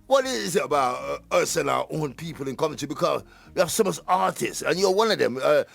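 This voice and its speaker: A man's voice: fairly high pitch, lively intonation, a little fast, vocal fry, soft background music. A man with a British Caribbean accent hosting a casual radio interview, interjecting and repeating himself in patois-peppered speech. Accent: British Caribbean, Jamaican-influenced. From England. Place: radio studio.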